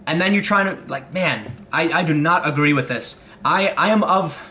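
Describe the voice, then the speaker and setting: Young American male commentator, bright edge, heated monologue with stammered restarts. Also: on the high side, slight background noise. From United States. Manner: agitated, rapid restarts and stammers. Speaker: political commentator. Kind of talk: opinion monologue.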